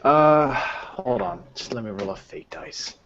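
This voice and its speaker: A man acts as game master in a casual roleplaying session. He improvises in a halting way, searching for words, at a middling pitch. The audio is dull and lo-fi. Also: expressive, gravelly, a bit bright, a little hiss or hum. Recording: dull, lo-fi voice recording.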